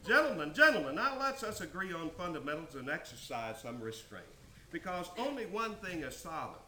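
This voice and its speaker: A man acts out a genteel, self-important gentleman from a literary tale. He orates in a mannered, pompous way, with a lively rise and fall. The sound is echoey with faint noise. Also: a little high, fairly quick, exaggerated voice. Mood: grave, urgent. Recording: roomy echo, some background noise.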